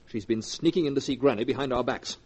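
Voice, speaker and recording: An actor playing a suave, calculating grandson and two-timing lover in 1940s radio drama style. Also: male voice, medium pitch, fast talker, a little mellow, slightly rough, lightly acted. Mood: smooth, placating. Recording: noisy old 1940s radio recording.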